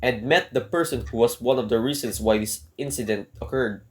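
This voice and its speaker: A man acts out a desperate jailed burglar in a radio play. He speaks at a middling pitch in formal, old-fashioned phrases. His delivery is earnest, theatrical and pleading. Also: brisk, very noisy, close to an everyday voice. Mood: solemn, storytelling. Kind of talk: dramatic radio play monologue and narration.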